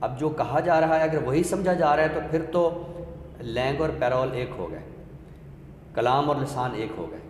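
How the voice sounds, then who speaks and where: A man speaks as a literary expert, setting out ideas in a deliberate, didactic way and restating them for clarity. His voice sits at a middling pitch. The recording is echoey, like a hall, with faint noise behind him. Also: expressive, a little mellow. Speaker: literary expert guest on a discussion show. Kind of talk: explanatory literary talk.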